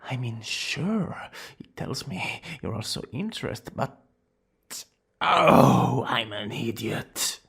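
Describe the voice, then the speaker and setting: Man acting a theatrical young villain, creaky and expressive. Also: mid-range voice, exaggerated voice. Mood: embarrassed, sheepish. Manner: dramatic, drawn-out boasting that slips into breathy whispers and flustered exclamations. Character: smug young male villain student, theatrical, secretly smitten and easily flustered. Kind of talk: ASMR romance roleplay acting. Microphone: close studio-style microphone.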